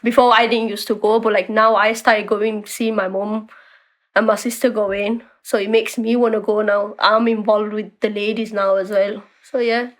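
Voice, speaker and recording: A woman's voice: mid-range voice, little pitch movement, moderate pace. A young woman guest, talking casually in an interview with lots of fillers and restarts. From born in a refugee camp in Bangladesh, raised in the UK. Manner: chatty, many likes and restarts.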